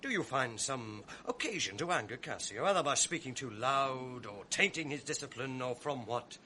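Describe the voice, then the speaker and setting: Sly, mocking stage villain, lively swooping delivery, noisy old radio broadcast. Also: male voice, medium pitch, moderate pace. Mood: persuasive. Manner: sly, mocking, drops to venomous whispers. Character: scheming, bitter male villain ensign. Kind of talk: classic radio drama acting. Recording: old broadcast with audible noise.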